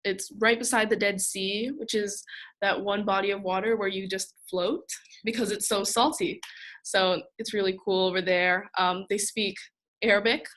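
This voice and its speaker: A woman's voice: medium pitch, fairly even intonation, normal speed, fairly bright tone, low-quality recording. A young woman presenting in a casual panel talk, chatty and full of ums and likes. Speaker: student presenter.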